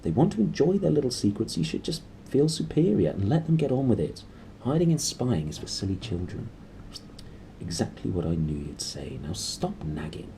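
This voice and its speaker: A man's voice: mid-range voice, very animated, normal speed, vocal fry, dark tone, a little hiss or hum, voice pushed up, low-quality recording. A man voicing a self-righteous male animal spirit companion in a storybook, prim and scolding. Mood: scolding, smug. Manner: scolding, superior.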